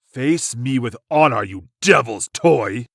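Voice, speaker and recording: A man voicing a proud, defiant medieval warrior in a comic dub, gravelly and solemnly declamatory. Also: medium pitch, animated, slow, no echo, clean studio sound, heavily acted. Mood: outraged, defiant.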